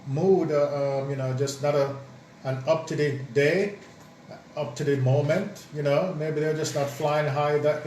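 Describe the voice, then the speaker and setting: A man co-hosting a casual chat about marriage, speaking thoughtfully and haltingly with many ums and restarts. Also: on the high side, darkish tone. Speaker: co-host, married partner. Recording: roomy echo, distant mic, faint noise.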